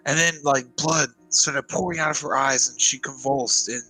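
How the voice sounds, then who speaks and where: Bright, forceful man playing a tabletop game. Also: mid-range voice, steady tone, moderate pace, low-quality recording. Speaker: tabletop roleplay player. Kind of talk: casual in-game narration and dialogue. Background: music.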